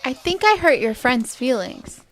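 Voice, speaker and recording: A woman's voice: sits high, big pitch swings, unhurried, soft background music. A young woman playing a nervous, awkward alien student who stammers and hesitates, in an audio drama. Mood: flustered. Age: young adult.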